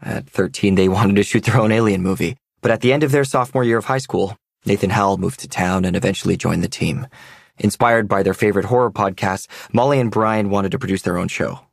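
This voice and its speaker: Grave audio-drama narrator: low, gravelly, measured, documentary delivery. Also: male voice, no room sound, studio quality. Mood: matter-of-fact. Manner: solemn, measured. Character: grave male narrator recounting a tragic investigation. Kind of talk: scripted documentary-style narration.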